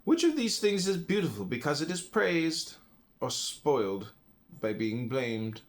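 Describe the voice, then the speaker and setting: A man's voice: fairly high pitch, big pitch swings, measured pace. An American man reading classical philosophy aloud as an audiobook, in a solemn, emphatic, declamatory manner. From United States. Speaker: audiobook reader.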